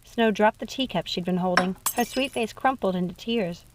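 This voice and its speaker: A woman's voice: mid-range voice, moderate pace, noisy background. A woman narrating a storybook expressively as an audiobook reader.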